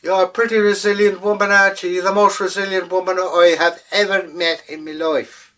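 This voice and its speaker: Man voicing a small male leprechaun, high squeaky pitch, loud, wheedling, mischievous, storytelling. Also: little pitch movement, brisk, distant mic. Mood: flattering.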